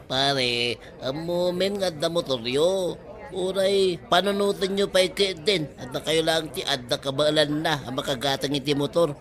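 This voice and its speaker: A man's voice: fairly high pitch, unhurried, fairly bright tone. A man playing a jovial buddy who ribs his friends in a comedy drama, teasing and familiar. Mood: amused teasing. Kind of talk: Ilocano comedy drama acting.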